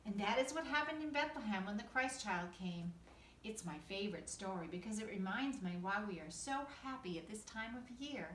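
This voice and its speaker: A woman's voice: medium pitch, normal speed, a bit bright, reverberant, distant mic, slight background noise, natural voice, rough recording. A woman voicing a kindly, reassuring old Santa Claus in a storybook reading. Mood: warm, reassuring.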